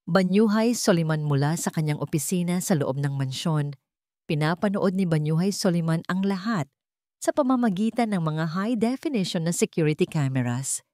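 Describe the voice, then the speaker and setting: A woman's voice: on the low side, lively intonation, moderate pace, warm tone, no room sound, studio quality. A woman reading a scripted romantic drama as a story narrator.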